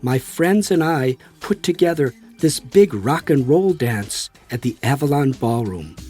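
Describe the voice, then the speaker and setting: A man voicing a friendly, enthusiastic American devotee in audiobook dialogue, with a warm, animated, admiring manner. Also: medium pitch, husky.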